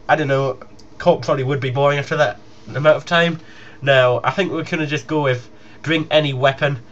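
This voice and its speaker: A man's voice: mid-range voice, a bit bright. Young man, muffled noisy recording. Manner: informal, rambling. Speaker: gaming YouTuber. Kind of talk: casual announcement to viewers. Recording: muffled lo-fi home recording with noise.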